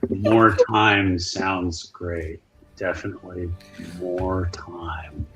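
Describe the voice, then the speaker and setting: A man playing a groggy, hungover fantasy game character, halting and miserable, holding back snark. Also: low-pitched, fairly even intonation, music in the background. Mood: weary.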